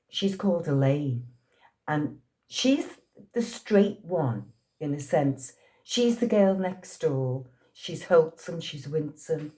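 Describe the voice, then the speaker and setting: A woman's voice: on the low side, dark tone. A woman in her sixties from Liverpool with a Liverpool accent, chatting in an interview and telling anecdotes with frequent laughs. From Liverpool, England. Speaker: actress being interviewed. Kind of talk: casual storytelling interview.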